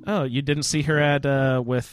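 A man's voice: mid-range voice, flat delivery, moderate pace, clean tone. A man hosting a casual roundtable chat about a video game, steering the group with questions. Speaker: podcast host moderating a roundtable.

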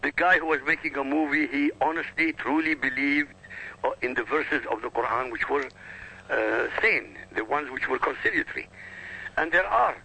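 A man's voice: mid-range voice, moderate pace, creaky, bright, forceful tone, slightly rough, poor audio. A man whose first language is Arabic, arguing insistently on a radio talk show and lecturing with emphatic repetition. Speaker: guest.

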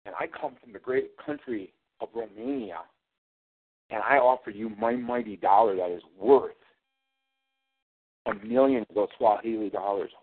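A man cutting a sarcastic, mocking wrestling promo, taunting his rival as champion. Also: on the low side. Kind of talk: trash-talking wrestling promo over a call. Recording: tinny phone line.

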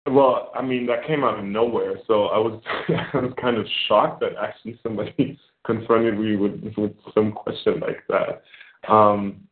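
A man from Germany, a filmmaker guest, talking reflectively in a casual interview with many pauses and fillers. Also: on the low side, steady tone, slight background noise. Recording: phone-like echoey remote call.

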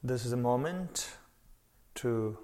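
Very slow-speaking man guiding meditation. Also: mid-range voice, animated, warm tone. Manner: unhurried, measured, with long pauses. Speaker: meditation teacher. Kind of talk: guided meditation.

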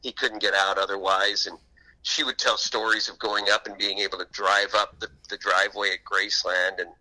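A man's voice: fairly low pitch, little pitch movement, a little fast, pushed and bright, rough recording. A man being interviewed as an author, telling anecdotes in a conversational radio style.

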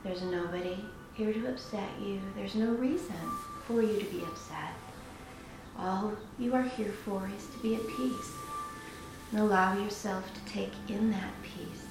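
A woman guides a meditation in a soothing, unhurried way. Her mid-pitched voice rings with heavy echo, with music clearly behind it. She leaves pauses between short phrases. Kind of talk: guided meditation, slow instruction. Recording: very echoey room with music.

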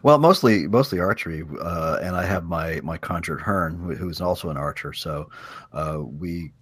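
Male panelist, very low and rough-edged voice, dry sound, halting casual delivery. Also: fairly bright tone, dry recording. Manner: halting, repeats words. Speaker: podcast roundtable panelist. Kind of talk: casual chat about gameplay.

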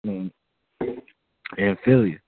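Man, from Miami, low voice, casual rambling chat, phone-like audio. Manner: rambling, halting, profane storytelling. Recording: phone-like band-limited audio.

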